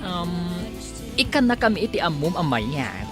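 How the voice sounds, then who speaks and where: A woman's voice: on the low side, very animated, moderate pace. An actress playing a flirtatious, coy female bar customer who drawls her interjections in an Ilocano comedy radio drama. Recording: radio drama with music behind.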